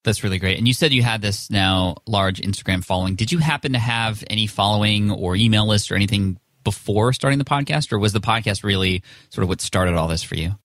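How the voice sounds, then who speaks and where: A man's voice: lowish voice, a little fast, few pauses, no room sound, studio quality. A man in his thirties hosting a podcast, upbeat and enthusiastic. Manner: enthusiastic, excitable. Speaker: podcast host.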